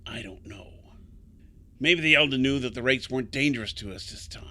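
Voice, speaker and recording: An actor playing a troubled, weary older man in an audio drama, speaking gravely and haltingly, with insistence. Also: mid-range voice, bright, forceful tone, close to an everyday voice. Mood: urgent, grave. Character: troubled, weary older man, secretive guide fearing an ancient evil.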